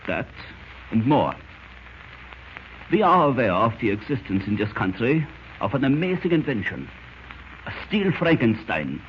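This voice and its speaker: A cunning, grandiose German spymaster from an old radio serial. The German accent is formal and deliberate, and the pitch swoops dramatically from hushed menace to gloating. The recording is dull and scratchy, with audible noise. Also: male voice, very animated. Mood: cold, intent. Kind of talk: 1940s radio acting.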